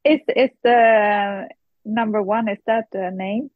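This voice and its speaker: A woman's voice: medium pitch, fairly even intonation, slow, dry recording, narrow, tinny audio. A woman with a Swedish accent, a podcast guest chatting casually and asking short, hesitant questions over a remote call. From Sweden. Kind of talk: casual chat.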